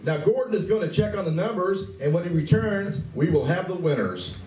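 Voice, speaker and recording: A man's voice: high-pitched, animated, brisk, darkish tone. A man playing a cheerful game coordinator in a radio play, announcing and giving play-by-play. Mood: commentating. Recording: distant, echoey room with faint noise.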